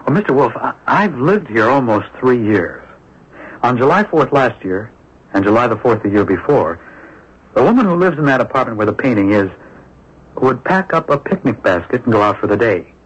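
An actor playing an eager, secretive neighbour obsessed with a mystery in a radio drama, speaking earnestly, with hesitant, insinuating turns. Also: male voice, mid-range voice, sing-song, moderate pace, breathy edge. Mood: intrigued, secretive. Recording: dull lo-fi old radio broadcast recording.

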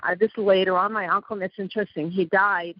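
A woman's voice: medium pitch, fairly even intonation, fast talker, no echo. A woman from Iran with an Iranian accent, a guest telling her story in a rambling way, with a slight lisp from missing front teeth. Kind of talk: casual interview talk. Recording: dull lo-fi call audio.

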